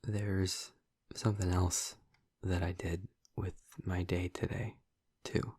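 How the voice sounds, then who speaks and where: A man playing a gentle, earnest vampire boyfriend in an intimate audio roleplay, speaking softly and haltingly in a husky, breathy, hushed voice. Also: low voice, little pitch movement, no echo, heavily acted. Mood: earnest, resolute. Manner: halting, soft-spoken, confiding with long pauses. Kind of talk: intimate audio roleplay, ASMR-like soft delivery.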